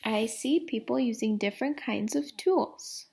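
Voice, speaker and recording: A woman reading a children's storybook aloud like a teacher, deliberately, putting questions to young listeners. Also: on the high side, creaky, dark tone.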